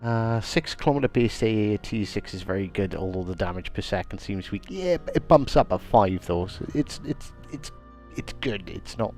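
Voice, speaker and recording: A man's voice: on the low side, expressive, moderate pace, a little mellow, soft background music. Chatty man with a stuffy cold. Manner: chatty, greets viewers by name. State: slightly congested with a cold. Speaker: game streamer. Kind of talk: casual live-stream commentary.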